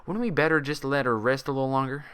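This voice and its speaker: Storybook mare physician performed by a man: gentle, hushed, worried, hesitant. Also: medium pitch, fast talker, clean tone, voice pushed up, close to an everyday voice. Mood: apprehensive. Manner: quiet, worried. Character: apprehensive, gentle female pony doctor friend.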